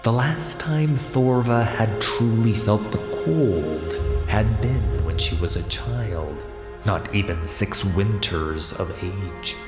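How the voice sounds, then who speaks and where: Guy narrating a dramatic fantasy audiobook, low voice, slow, music underneath. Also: animated.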